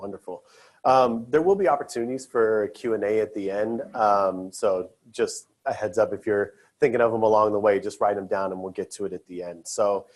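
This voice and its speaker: A man's voice: lowish voice, a little fast. A man moderating a student panel at a conference session, earnest and loose with fillers and restarts.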